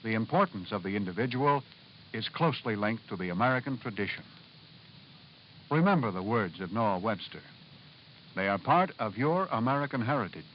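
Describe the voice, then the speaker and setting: Formal vintage radio announcer, male. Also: lowish voice. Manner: formal, measured oratory. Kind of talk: scripted public-service narration, vintage radio. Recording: dull, faintly noisy vintage radio broadcast.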